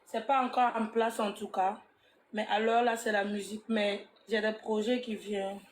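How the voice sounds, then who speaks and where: A woman's voice: mid-range voice, flat delivery, normal speed, reverberant, slight background noise. A woman singer, born in the Congo and raised in Burkina Faso, giving short, slightly hesitant answers as an interview guest. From Burkina Faso, born in Kinshasa. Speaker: guest musician.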